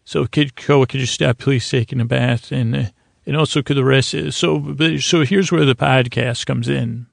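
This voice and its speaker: A man with a creaky, gravelly voice hosting a sleep podcast, giving a halting, meandering, soothing bedtime monologue full of digressions. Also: medium pitch, steady tone, no echo, clean studio sound. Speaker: podcast host. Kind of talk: rambling bedtime monologue for falling asleep.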